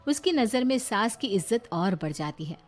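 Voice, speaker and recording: A woman's voice: on the high side, very animated, moderate pace, faint music behind. A woman reading scripted narration for a family moral story, as a storyteller.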